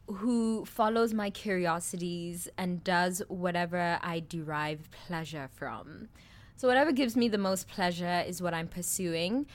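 Frank young South African woman. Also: on the low side. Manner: candid and crude, laughs often. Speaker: podcast co-host. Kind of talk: casual chat.

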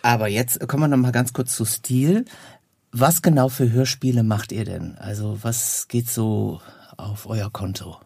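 A man's voice: medium pitch, a little slow, vocal fry, darkish tone, breathy edge, no room sound, clean studio sound. A man hosting a casual interview, asking questions in a conversational way. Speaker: interviewer and host.

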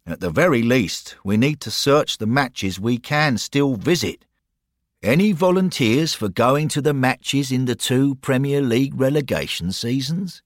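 A man's voice: mid-range voice, lively intonation, measured pace, dry recording, studio quality, voice pushed up, lightly acted. A man voicing an impatient, businesslike man giving firm orders. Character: brisk ghostly club caretaker man, impatient.

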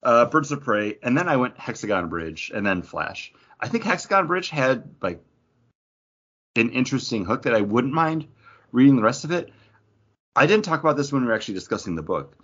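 A man's voice: medium pitch, very animated, brisk, a bit bright, breathy edge, muffled recording. A man co-hosting a podcast, chatting casually as he steers the discussion and asks questions. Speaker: podcast co-host leading discussion. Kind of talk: casual chat about comics.

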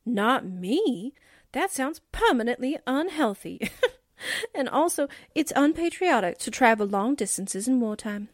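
A woman's voice: fairly high pitch, very animated, measured pace, no echo, clean studio sound. An actress playing a quippy, slangy newspaperwoman sidekick in a 1940s-style radio drama. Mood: wry, joking. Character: wisecracking, game newspaperwoman sidekick, bubbly temper.

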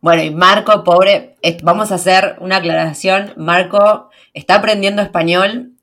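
Fast-talking Argentine woman podcast host. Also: fairly low pitch, fairly even intonation, a bit bright. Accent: Argentine Spanish. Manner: fast, slangy, chatty with laughs. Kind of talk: casual podcast chat.

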